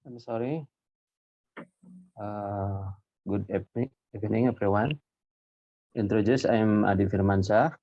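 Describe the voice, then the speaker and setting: A graduate student from Indonesia introduces himself over a video call, apologetic and halting. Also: male voice, lowish voice, no echo. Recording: dull dry call audio.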